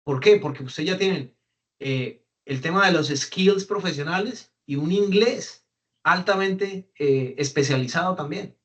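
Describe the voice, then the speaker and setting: A young Colombian man with a Colombian accent and a voice set somewhat high for a man. He talks casually to a group, filling gaps with "eh" and restarting his phrases as he thinks. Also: measured pace, fairly bright tone. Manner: conversational, many fillers and restarts, mimics English phrases. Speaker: guest speaker on migration. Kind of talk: casual talk to an audience.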